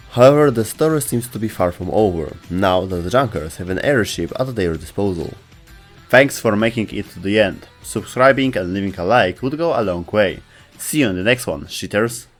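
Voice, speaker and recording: A man with a lowish voice narrates a scripted video as host. His pitch moves in a lively way, and soft music plays behind him.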